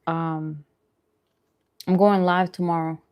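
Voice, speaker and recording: A woman with a somewhat low voice hosts a casual eating stream. She speaks informally and directly to her viewers, in a chatty, conversational way. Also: level tone, takes it slowly, clean tone, dull audio. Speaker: mukbang vlogger and livestream host. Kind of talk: casual livestream chat while eating.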